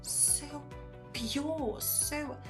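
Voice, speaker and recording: A woman's voice: sits high, drawn-out words, music in the background. A woman playing a curious, playful young friend in a podcast audio drama, with a breezy, teasing manner and exaggerated wonder. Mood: curious, awed. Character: Justine, curious, playful, easily awed questioning young friend.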